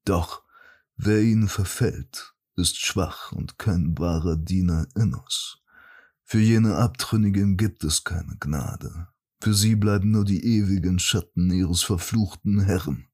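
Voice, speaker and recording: A man voicing a solemn, righteous archmage priest who speaks in a formal, pompous, husky way in a fantasy audiobook. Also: sits low, takes it slowly, darkish tone, full character voice. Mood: solemn warning. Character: solemn, righteous archmage priest reading his letter aloud. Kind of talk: fantasy audiobook, scripted dramatic monologue.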